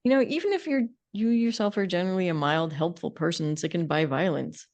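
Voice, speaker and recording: A woman's voice: lowish voice, brisk, darkish tone. A middle-aged woman co-hosting a conversational podcast, talking in an opinionated, emphatic way. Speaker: podcast co-host.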